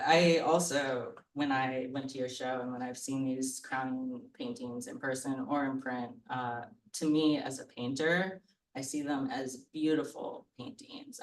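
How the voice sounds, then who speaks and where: A woman's voice: a bass voice, fairly even intonation, measured pace. Scratchy-voiced woman painter, earnest. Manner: thoughtful, earnest, gently admiring. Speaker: painter and guest. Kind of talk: casual public conversation. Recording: roomy sound from far off the mic.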